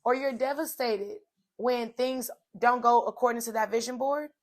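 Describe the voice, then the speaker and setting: A woman hosting a solo inspirational talk, her voice slightly hoarse, chatty and direct, addressing viewers as "y'all.". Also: fairly high pitch, fairly even intonation, moderate pace. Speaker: podcast host. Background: soft music playing.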